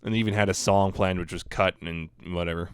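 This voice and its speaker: Low, clear-voiced man, close and dry. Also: fairly even intonation, quick pace, no room sound. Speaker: podcast co-host reading fan news. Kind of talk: casual news discussion.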